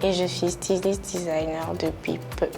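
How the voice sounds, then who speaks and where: A woman's voice: fairly low pitch, level tone, a little slow, gravelly, music in the background. Young woman contestant, hesitant. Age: 20s. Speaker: fashion contestant. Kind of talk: casual interview.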